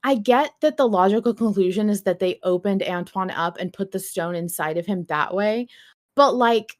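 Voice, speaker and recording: A woman hosting a fan podcast, chatting casually in an animated, joking and sweary manner. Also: mid-range voice, normal speed. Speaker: podcast host.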